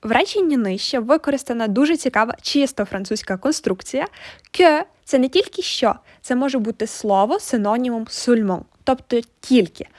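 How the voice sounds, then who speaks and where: A woman narrates a language lesson. Her voice is on the high side, and she explains phrases as a teacher would. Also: animated, normal speed. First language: Ukrainian. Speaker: French teacher.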